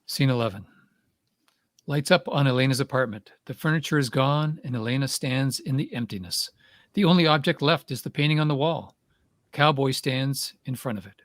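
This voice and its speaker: A man's voice: medium pitch, normal speed, no room sound, clean studio sound. A man reading stage directions as scripted narration for a play.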